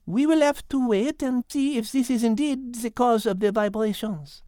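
A woman's voice: a little high, moderate pace, dark tone, no echo, studio quality, lightly acted. A woman voicing a brainy French female animal in a children's audio drama, speaking precisely and formally with logical poise. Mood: thoughtful, logical. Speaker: co-host and character in an animal story podcast.

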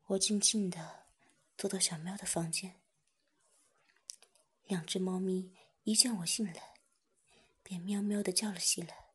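A woman reading a first-person story aloud in a soft whisper. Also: lowish voice, fairly even intonation, unhurried, pauses often, slightly rough.

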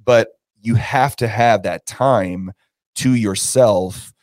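Low-voiced American male podcast host. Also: slow pace, a little mellow, dry recording. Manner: rambling, thinking aloud. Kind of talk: casual conversational interviewing.

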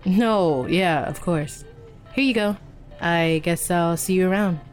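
Friendly young coworker gal in a fiction podcast, chatty, little hesitant, on the low side. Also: female voice, takes it slowly, soft background music. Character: friendly, easygoing, slightly shy young coworker. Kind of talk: audio drama acting.

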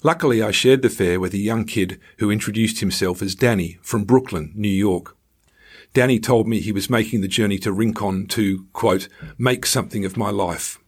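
Low-voiced man reading a travel story. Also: normal speed, husky. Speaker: writer reading own travel stories. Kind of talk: scripted narration of a travel memoir.

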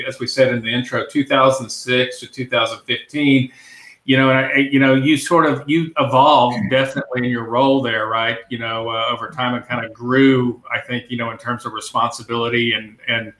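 A male podcast host with a bright, forceful voice who asks long, rambling questions packed with "you know" and "uh". He is recorded on a video call, and his voice carries a clear roomy echo. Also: mid-range voice. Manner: rambling, many fillers and restarts. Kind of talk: casual interview hosting.

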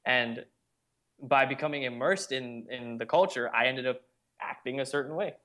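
A man's voice: medium pitch, normal speed, sharp tone. A young adult man speaks as a podcast guest, recounting his own experiences. His talk is earnest and conversational, looping back on itself with frequent "like"s as he works through his thoughts. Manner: earnest storytelling, frequent "like" fillers. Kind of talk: casual conversation.

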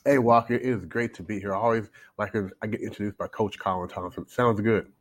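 A man in his forties with a North American accent speaks as a guest on a podcast. His voice sits fairly low, and he tells his story in a conversational way. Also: fairly even intonation, brisk. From Canada, then United States from age eight. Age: 40s. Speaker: life coach, podcast guest.

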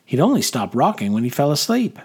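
A man's voice: a little high, wildly swooping pitch, fairly quick, darkish tone, breathy edge, pitched above the performer's own voice, full character voice. A man voicing a simple, deferential private soldier in an audiobook, earnest and eager. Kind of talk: audiobook character dialogue.

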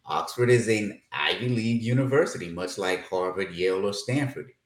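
Enthusiastic host in an echoey room. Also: male voice, fairly low pitch, lively intonation, normal speed. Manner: enthusiastic, leading questions. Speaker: interview host. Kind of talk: casual podcast interview.

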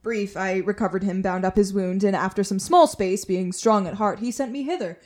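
A woman playing an earnest young gentleman in a Shakespearean radio play, speaking measured verse. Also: medium pitch, natural voice. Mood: grave narrating. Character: Oliver, young gentleman, earnest reformed elder brother.

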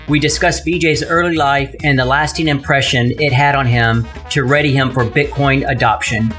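A man's voice: medium pitch, fairly bright tone. A man hosting a podcast, presenting and talking as an interviewer. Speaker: podcast host. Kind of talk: scripted intro and sponsor read, then casual interview.

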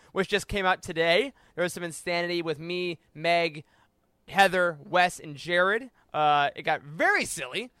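A man's voice: high-pitched, a bit bright, smooth, no echo. A man co-hosting a podcast in a casual, enthusiastic and playful way. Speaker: podcast co-host. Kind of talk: casual podcast hosting and reading out a listener's letter.

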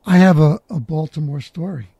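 Husky-voiced man hosting a podcast. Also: a little high, a little fast, warm tone, no echo, muffled recording. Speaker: podcast host. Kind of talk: scripted intro then casual interview.

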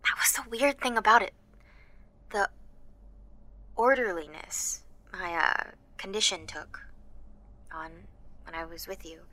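An anxious teenage girl with superpowers in an audio drama. Her voice is bright and keen, and she confides in a halting, self-deprecating way. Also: medium pitch, lively intonation. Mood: tender, confiding. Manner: confiding, halting, with nervous laughs and sighs. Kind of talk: first-person audio drama narration and dialogue.

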